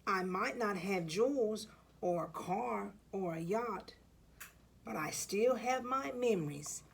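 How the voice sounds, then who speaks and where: A woman reading the part of a kindly, sentimental older woman in a children's storybook, speaking gently and consolingly. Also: mid-range voice, expressive, slow pace, creaky, husky, full character voice. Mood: tender, consoling. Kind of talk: children's storybook read-aloud.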